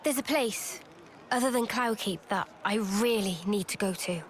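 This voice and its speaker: A woman playing an earnest, gentle young female warrior in a video game, sincere and a little hesitant. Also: medium pitch, normal speed, slight background noise, lightly acted. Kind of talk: video game cutscene acting.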